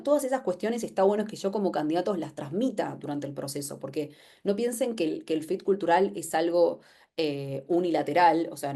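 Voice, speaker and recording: A woman's voice: low-pitched, mellow. A woman with an Argentine accent gives an informal explanatory webinar talk, conversational, posing questions and voicing imagined remarks. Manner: conversational, poses rhetorical questions, acts out imagined quotes. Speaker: webinar presenter.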